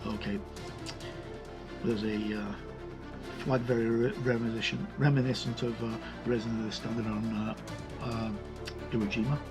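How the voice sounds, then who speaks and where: Halting man reviewing over background music. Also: medium pitch, little pitch movement. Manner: halting, thinking aloud, self-correcting. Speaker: host reviewing a tabletop game book. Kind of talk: casual unscripted review commentary.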